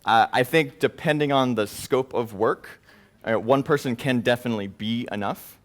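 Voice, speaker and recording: A man presenting at a tech conference, answering audience questions informally in halting phrases peppered with filler words. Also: medium pitch. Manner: hedging, halting explanations peppered with "you know".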